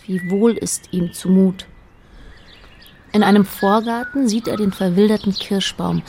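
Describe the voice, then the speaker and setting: A woman's voice: medium pitch, steady tone, measured pace, warm tone, slight background noise, lightly acted. A woman narrating a literary radio play as a detached, observant storyteller, in short plain sentences.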